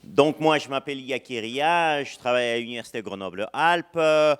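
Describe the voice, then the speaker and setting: Male university lecturer, non-native speaker, halting delivery full of fillers, mid-range voice. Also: medium pitch, fairly bright tone, clear, resonant tone. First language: not French. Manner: hesitant, many "euh" fillers and restarts. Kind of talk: conference lecture. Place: lecture hall.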